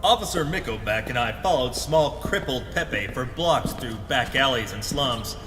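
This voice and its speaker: A man's voice: medium pitch, big-room sound, lightly acted. A man playing a hardboiled, melodramatic pulp detective who narrates his adventure in a noir parody audio drama.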